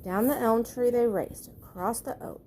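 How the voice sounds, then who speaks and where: A woman's voice: fairly high pitch, normal speed, mellow, a little hiss or hum. Woman reading a children's bedtime story. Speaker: storybook reader.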